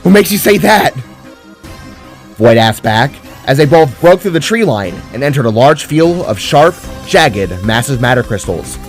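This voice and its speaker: A man's voice: mid-range voice, big pitch swings, normal speed, few pauses. A man reading scripted fantasy narration in a dramatic storytelling style. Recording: music behind the voice. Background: music.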